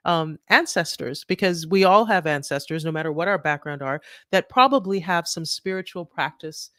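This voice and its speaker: A middle-aged woman from Trinidad, a guest author talking in an animated way with many restarts and "right?" tags. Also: on the low side, moderate pace, no echo, clean studio sound. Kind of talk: conversational interview.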